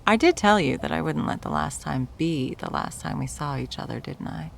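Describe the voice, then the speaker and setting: Female actor as menacing, possessive executive ex for ASMR roleplay; low-pitched, vocal fry, sardonic and condescending. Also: expressive, moderate pace, slight background noise, heavily acted. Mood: mocking, condescending. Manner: condescending, sarcastic, drawling sweet talk. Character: wealthy, possessive corporate executive ex-girlfriend, mocking and menacing. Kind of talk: ASMR roleplay, dramatic monologue.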